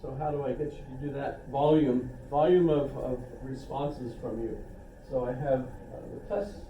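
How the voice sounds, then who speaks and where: An older male instructor lectures casually, wandering into asides and poking fun at himself. His voice is deeply dark and mellow. It is picked up from a distance in a reverberant classroom with faint background hiss. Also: steady tone, moderate pace. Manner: rambling, self-deprecating, with asides and restarts. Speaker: philosophy instructor. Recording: echoey classroom recorded from a distance.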